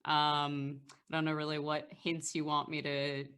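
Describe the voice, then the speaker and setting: Female podcast actor with a notably low voice; casual banter, dry and mock-serious. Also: completely flat delivery, unhurried, fairly bright tone, clear, resonant tone. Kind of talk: casual post-show banter.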